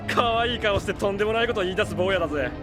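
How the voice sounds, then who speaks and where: A cocky spaceship pilot with a very high, animated voice. His talk is rough, wry and slangy in sci-fi audio drama style, under loud music.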